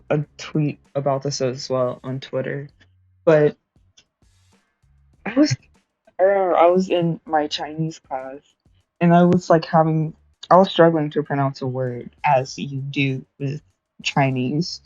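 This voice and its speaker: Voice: medium pitch, measured pace, long pauses, darkish tone, no room sound, highs cut off, soft background music. A young adult streamer chatting casually while studying, rambling, correcting themselves and laughing often.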